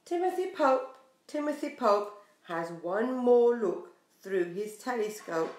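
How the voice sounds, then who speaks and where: A woman in her forties reading a picture book aloud to young children in an expressive, sing-song way. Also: fairly high pitch, slow. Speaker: preschool storyteller. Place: small bare room indoors. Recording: echoey small room.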